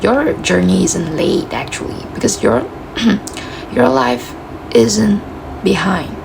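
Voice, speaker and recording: A woman with a gravelly voice giving a casual, reflective self-help talk, full of "you know" and "like", her voice cracking as she loses it. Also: medium pitch, animated, slow pace, background noise. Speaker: motivational speaker.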